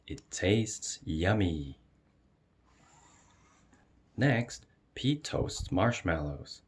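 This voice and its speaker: A man with a very low, husky voice narrates a children's storybook. He takes his time over each phrase, with plenty of expressive rise and fall. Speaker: storyteller reading a children's picture book aloud. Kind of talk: expressive read-aloud narration.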